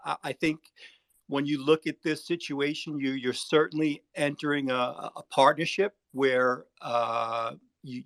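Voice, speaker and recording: A middle-aged man with a New York accent talks as an academic advisor on an unscripted panel. His voice has a noticeable creak and sits at an ordinary male pitch. He picks his words haltingly and formally, with very little room sound. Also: a bit bright, no echo. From United States, New York area.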